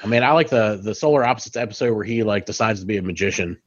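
A man's voice: lowish voice, racing, breathy edge. Laughing, cursing man on a dull call. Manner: laughs often, swears freely, rambling. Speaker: podcast co-host. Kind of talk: casual chat. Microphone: home mic on a video call.

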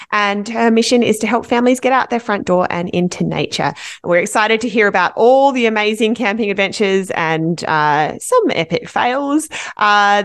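A woman with a Canadian accent opens a podcast episode as co-host. She sounds upbeat and enthusiastic, at an ordinary middle pitch. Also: smooth. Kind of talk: scripted podcast intro and interview.

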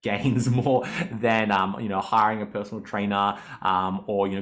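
Man, 30s, lowish voice, chatty podcast coach who laughs easily. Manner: chatty, upbeat, laughs often, self-mocking. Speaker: podcast host. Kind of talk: casual coaching monologue.

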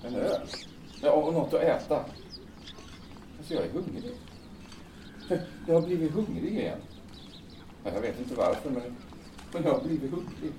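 A man's voice: fairly high pitch, sing-song, long pauses. A man playing a troubled, earnest man in an audio drama, speaking hesitantly and repeating himself. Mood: relieved, hungry. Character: troubled, sad, earnest man recovering from despair. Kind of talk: naturalistic audio drama acting. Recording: echoey, distant, noisy with birds.

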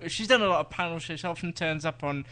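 A man's voice: on the high side, fast, sharp tone. A man co-hosting a live TV podcast, reviewing shows in casual chat with dry teasing asides.

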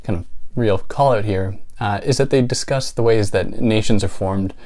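A man's voice: on the low side, normal speed, a little mellow. A young man hosting his own video channel, talking casually and thoughtfully about books, with a soothing voice and many fillers. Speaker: book channel host. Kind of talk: casual vlog talk.

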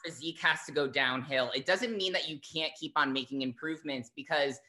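Bright-voiced, animated fitness coach. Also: medium pitch, fairly quick, slight background noise. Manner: animated, uses lots of fillers like um and like. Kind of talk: casual interview chat.

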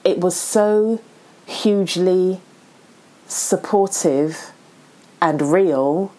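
Earnest, lower-voiced woman host. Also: extremely slow, warm tone, rough recording. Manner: reflective, earnest, encouraging. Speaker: podcast host. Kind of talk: casual interview conversation.

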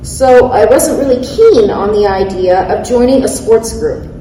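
A woman's voice: on the high side, lively intonation, unhurried, dark tone, a little hiss or hum. A woman in her thirties on a panel, reading prepared remarks in an eager way, laughing at herself when she stumbles. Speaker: panelist, athlete speaker. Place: large event hall. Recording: echoey hall, mic at a distance.

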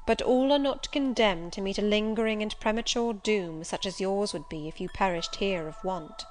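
A woman voicing a calm, authoritative gentleman in an audiobook, with a grave, formal and measured delivery. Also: mid-range voice, lightly acted. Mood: grave, composed. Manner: formal, commanding, composed. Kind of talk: audiobook character voice.

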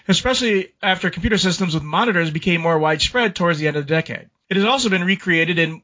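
A man's voice: a little high, fairly bright tone. A man co-hosting a gaming podcast, reading a history segment and chatting with frequent restarts and repeated words. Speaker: podcast co-host. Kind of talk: casual podcast chat and read-out history segment. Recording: lo-fi, dull sound.

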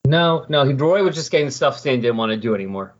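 A man's voice: mid-range voice, animated, fast talker. A man in a casual comic book discussion on a podcast, sometimes reading dialogue aloud. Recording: dull, lo-fi voice recording.

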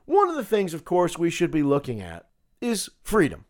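A man hosting a political podcast, talking solo in a sarcastic, combative way with a gravelly voice. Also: a little high, huge pitch swings, moderate pace, darkish tone. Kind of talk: opinionated solo commentary.

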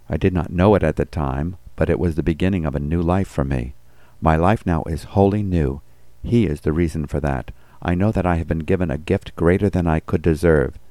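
A man's voice: rumbling low, dark tone, no room sound. A man reading scripted devotional commentary and scripture as a pastor.

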